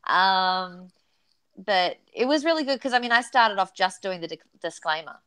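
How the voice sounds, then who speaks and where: A woman's voice: medium pitch, lively intonation, moderate pace, pushed and bright, smooth, no room sound. A woman from New Zealand, a podcast co-host and guest, chatting cheerfully and giggling as she rambles. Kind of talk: casual chat. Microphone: close USB mic.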